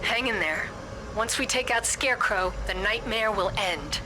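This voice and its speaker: An actress playing a brisk, encouraging guide who gives direct mission instructions in a video game. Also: female voice, mid-range voice, animated, natural voice, poor audio. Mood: encouraging, urgent. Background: music.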